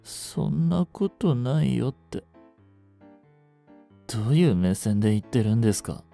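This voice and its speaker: An actor playing a young genius swindler in a romantic audio drama, lovestruck, jealous and boyishly flustered, speaking politely. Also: male voice, medium pitch, lively intonation, unhurried, gravelly, warm tone, soft background music. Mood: dejected, confused. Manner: polite speech, stammering when flustered. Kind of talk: romantic audio drama acting, addressed to the listener.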